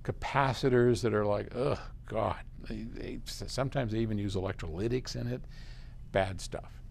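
A man's voice: lowish voice, moderate pace, gravelly, darkish tone, slight background noise. An American man in his seventies giving a casual explanation to camera in an informal, emphatic, conversational way. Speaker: company chief answering viewer questions. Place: large open office lobby, indoors.

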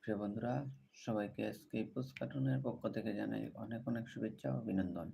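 A man's voice: on the low side, quick pace, creaky, dark tone. A man hosting a livestream, greeting viewers and repeating set-phrase appeals to them. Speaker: livestream host. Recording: noticeable background noise.

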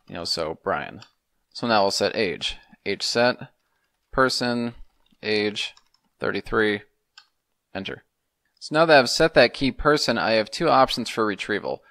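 A man's voice: fairly low pitch, a bit bright, no room sound, clean studio sound. A man, explaining things methodically in a semi-casual screencast tutorial. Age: 30s. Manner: methodical, step-by-step instruction. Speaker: programming tutorial host.

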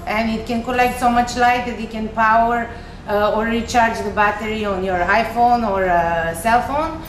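A young woman from Serbia with a Serbian accent gives an informal, explanatory science presentation, speaking a little hesitantly and simply as a researcher. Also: a little high. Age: young adult. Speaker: chemistry researcher, recent graduate. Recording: echoey room with faint noise.

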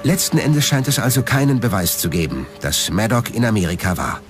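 A man's voice: fairly low pitch, big pitch swings, a little fast, over music. A man narrating a documentary from a script.

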